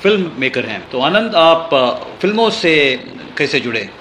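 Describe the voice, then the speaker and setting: A man's voice: fairly high pitch, sing-song, a little slow, a bit bright. Male interviewer in a noisy, echoey room. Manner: hesitant questions, brief acknowledgements. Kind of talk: conversational radio interview. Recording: echoey room with clear background noise.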